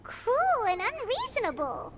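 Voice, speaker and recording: A woman playing a tiny, childlike fairy companion in an anime game, with a squeaky, perky and indignant delivery. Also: wildly swooping pitch, a little slow, distant mic, exaggerated voice. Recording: game audio under loud music.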